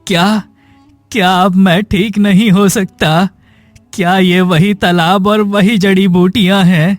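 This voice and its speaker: A man plays a poor, sick hunchback in a cartoon tale. His high voice drags slowly with plaintive despair. The delivery is melodramatic, with faint music underneath. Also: steady tone, no echo. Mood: bewildered. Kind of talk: dramatic Hindi cartoon story dialogue.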